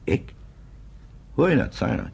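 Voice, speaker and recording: A man's voice: medium pitch, wildly swooping pitch, unhurried, creaky, darkish tone, husky, exaggerated voice. An actor playing a thoughtful, hesitant pioneer settler in his fifties in a radio drama. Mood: hesitant, concerned. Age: 50s.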